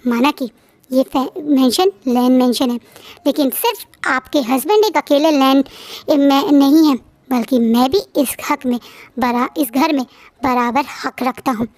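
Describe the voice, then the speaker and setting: A woman voicing a defiant man who forcefully claims his equal share of the house. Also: sits high, unhurried, no room sound.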